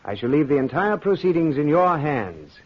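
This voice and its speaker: A sly aristocratic husband from vintage radio melodrama. His mid-pitched voice swoops expressively through pompous, sarcastic courtesies, domineering and suspicious. The recording is old and muffled. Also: big pitch swings, moderate pace. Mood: smug, veiled menace. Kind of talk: old-time radio drama acting.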